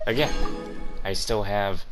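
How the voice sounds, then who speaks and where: A man's voice: fairly low pitch, measured pace, music in the background. A man giving casual gameplay commentary, rambling and swearing as he talks to himself. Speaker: gaming streamer. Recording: clear background noise with clicks.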